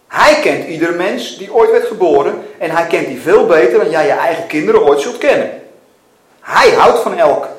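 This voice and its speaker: A man's voice: high-pitched, vocal fry, a little mellow, pitched above the performer's own voice, exaggerated voice. A man reading the part of God from a novel, a probing and insistent questioner who can turn tender. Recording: echoey room with faint noise.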